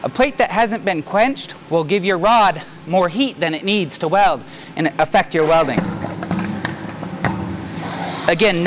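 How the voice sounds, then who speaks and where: A middle-aged man with a slightly high voice teaches welding in a plain, practical way. He talks to camera in a workshop, with a little shop noise behind him. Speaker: welding instructor. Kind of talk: hands-on demonstration, talking to camera. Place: large indoor welding shop.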